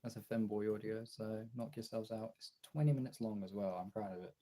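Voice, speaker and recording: A British man with a raspy, hoarse voice from being ill, chatting casually and swearily on a livestream. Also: lowish voice, steady tone, brisk, warm tone. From Britain. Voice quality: raspy, throaty. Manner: informal, sweary. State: hoarse from illness, throat clearing. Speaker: live streamer and audio voice performer.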